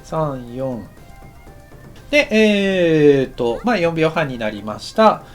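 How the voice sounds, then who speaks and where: A man giving a casual, explanatory tutorial as an anime director, thinking aloud in short halting phrases. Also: a little high, sing-song, faint music behind. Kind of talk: instructional lesson narration, casual and explanatory.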